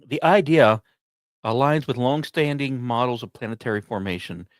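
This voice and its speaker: Male host, mid-range voice, measured scripted science read, explanatory delivery, very dry close recording. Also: medium pitch, expressive, no room sound. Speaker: podcast host.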